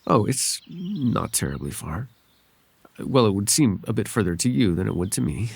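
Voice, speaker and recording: A man voicing a gentle, devoted and bashful winged fairy in an ASMR roleplay, speaking tenderly and hesitantly in formal, old-fashioned phrases. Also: on the low side, very animated, normal speed, creaky, breathy edge, full character voice. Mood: gentle, reassuring. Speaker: voice actor.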